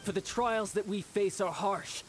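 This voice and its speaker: A man playing a suave, breezy young military commander in a video game, with a smooth manner. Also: sits high, a little slow, a little hiss or hum. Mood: stern, commanding. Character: suave young male military commander, doting older brother. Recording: game music under the voice.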